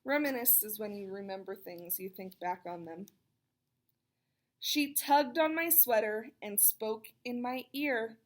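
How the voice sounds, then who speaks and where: A woman reading a children's picture book aloud, expressive and chatty, with casual explanatory asides for young listeners. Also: on the high side, unhurried. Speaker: read-aloud storyteller.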